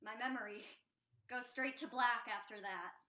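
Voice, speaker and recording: A young woman acts out a defiant, chatty female addict in a stage play. Her voice is high and bright, rambling along in casual, evasive storytelling. The room sounds echoey around her. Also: normal speed. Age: young adult. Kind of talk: student one-act stage play acting. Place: indoor stage.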